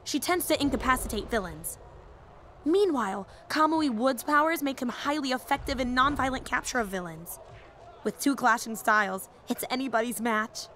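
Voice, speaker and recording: High-pitched nerdy young woman, acted out, eager and rambling. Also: normal speed, sharp tone, a little hiss or hum. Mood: fascinated, analytical. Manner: eager, rambling, stammering when flustered. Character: nerdy, excitable, shy female hero-fan teacher, earnest and easily flustered. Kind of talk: fan audio roleplay, rambling fight analysis.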